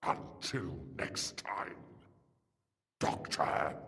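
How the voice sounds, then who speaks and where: A man's voice: drawn-out words, bright, forceful tone. A man playing a vengeful, arrogant alien war lord in an audio drama, with grandiose, threatening delivery. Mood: hushed menace. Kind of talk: audio drama villain acting. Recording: long hall-like reverb.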